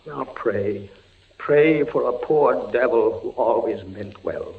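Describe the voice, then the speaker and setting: An actor playing a frightened, fevered soldier held in a dungeon in a radio horror play, halting and repeating his words dramatically. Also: mid-range voice, creaky. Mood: fearful, weak. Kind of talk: old-time radio horror acting. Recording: dull lo-fi radio recording, faint noise.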